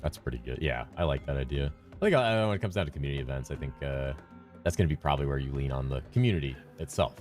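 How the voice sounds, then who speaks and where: A man interviewing a developer in a chatty way, with quick stumbling restarts. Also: very deep voice, lively intonation, a little mellow, soft background music. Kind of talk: casual interview.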